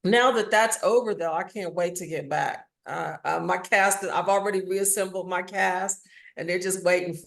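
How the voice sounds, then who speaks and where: Creaky, low-pitched woman speaking candidly. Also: fairly even intonation, moderate pace, fairly bright tone. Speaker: interview guest, theatre maker and audio drama writer. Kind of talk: casual, candid interview conversation.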